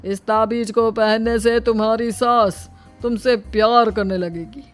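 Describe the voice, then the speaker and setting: Slow, gently wise holy man voiced by a woman. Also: fairly high pitch, fairly even intonation, soft background music, close to an everyday voice. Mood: reassuring, instructing. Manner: slow, measured, counselling. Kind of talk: animated moral story acting.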